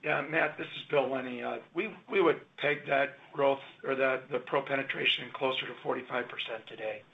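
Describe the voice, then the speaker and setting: A man, a company executive, answering analyst questions in a measured, explanatory way on an earnings call. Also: mid-range voice, vocal fry. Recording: phone-line audio.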